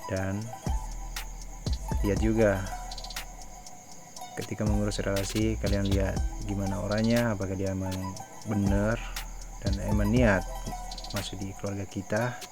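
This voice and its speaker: A low-pitched man acting as a family boss in a game roleplay gives instructions in short, deliberate phrases. He pauses often between them, and music plays clearly behind him. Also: flat delivery. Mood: measured, instructive. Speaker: family leader in online roleplay. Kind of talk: improvised game roleplay meeting.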